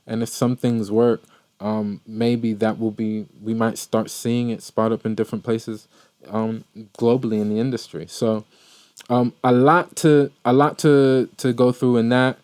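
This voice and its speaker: A man's voice: lowish voice, darkish tone, clean tone, no echo. A man who grew up in Maryland, hosting a podcast and giving casual horse racing commentary, rambling with many fillers and repeated words. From Maryland, USA. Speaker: podcast host.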